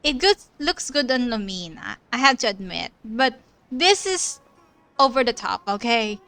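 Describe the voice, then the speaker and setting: A woman streams a video game and reacts aloud in a casual, teasing way. Her voice is high and smooth, with big swings in pitch. She exclaims often. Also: very animated, measured pace, fairly bright tone, dry recording. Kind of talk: casual live gameplay commentary.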